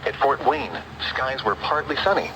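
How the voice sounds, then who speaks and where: A computer-generated male voice reading a weather report, even and mechanical, heard through a small radio speaker. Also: mid-range voice, normal speed, a loud crowd, poor audio. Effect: played through a small radio speaker. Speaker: automated weather broadcast voice.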